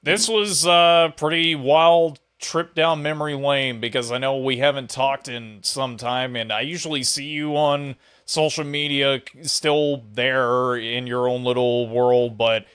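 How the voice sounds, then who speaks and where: A man's voice: medium pitch, steady tone, a little slow, few pauses, a bit bright, clean tone. A man hosting a podcast, chatting casually with his guest as interviewer.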